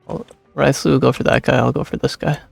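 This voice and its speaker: A man's voice: mid-range voice, fairly even intonation, quick pace, creaky, slightly rough, dry recording, soft background music. A young man casually commenting while he plays a game, with offhand, musing remarks. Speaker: gameplay streamer.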